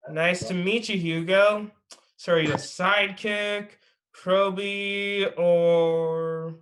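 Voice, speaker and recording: A man's voice: high-pitched, steady tone, slow pace, fairly bright tone. Man acting chatty, teasing young superhero. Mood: mocking. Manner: casual, chatty. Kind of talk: amateur table read acting.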